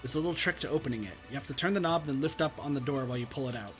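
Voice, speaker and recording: A man's voice: medium pitch, very fast, music in the background. A man reading a visual novel game aloud and chatting casually with friends.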